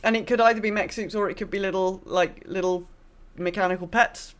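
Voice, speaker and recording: A woman's voice: mid-range voice, steady tone, a little fast. A woman talks as a podcast co-host in a middle register. Her delivery is casual and conversational. She is informal and opinionated, with the odd mild swear word. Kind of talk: casual chat about a video game.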